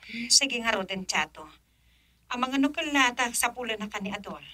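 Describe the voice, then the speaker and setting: A woman acting an excitable, alarmed madam in an Ilocano radio drama. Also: fairly high pitch, moderate pace. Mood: worried.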